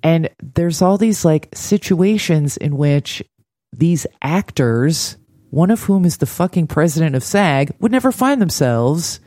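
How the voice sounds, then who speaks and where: A man's voice: a little high, animated, unhurried, mellow, no echo, studio quality. A man co-hosting a casual TV recap, with a critical, wry, digressive way of talking. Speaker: podcast co-host.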